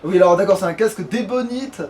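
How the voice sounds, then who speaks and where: A man with a notably high-pitched voice chats casually as he plays a game. He rambles and grumbles in crude, sweary slang, recorded at home over clearly audible background noise. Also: quick pace, a little mellow, far from the mic. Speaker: let's play streamer. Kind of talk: casual gameplay commentary chat.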